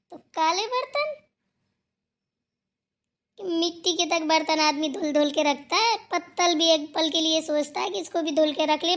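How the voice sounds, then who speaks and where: A woman's voice: very high-pitched, fairly bright tone. A woman voicing an outspoken, hardworking younger daughter-in-law in a Hindi family cartoon, who talks back, teases and moralizes. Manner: talking back, teasing, moralizing.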